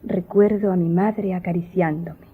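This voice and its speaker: An actress playing a melancholy young Greek noblewoman in a radio drama, recounting memories in a solemn, formal, measured way. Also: lowish voice, fairly even intonation, husky. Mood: wistful. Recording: muffled audio with music behind.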